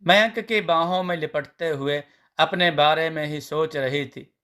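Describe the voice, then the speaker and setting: A man with a slightly high voice tells a scripted family story. His delivery is very slow and measured, in the manner of a storybook narrator. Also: sharp tone.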